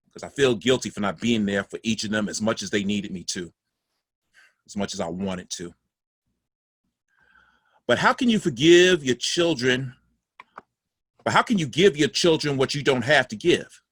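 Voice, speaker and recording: A husky older man's voice, an actor in a play reading. He is a regretful father, reflecting candidly and ruefully on his failings. The recording is dry. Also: mid-range voice, animated, moderate pace, long pauses, fairly bright tone, dry recording. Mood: rueful, reflective. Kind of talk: dramatic monologue in play reading.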